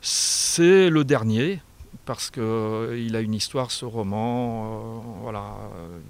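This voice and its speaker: A man's voice: fairly low pitch, slow, clean tone. A man speaking as a guest author in a radio interview, answering in a reflective, hesitant way with trailing phrases. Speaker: guest author and small publisher.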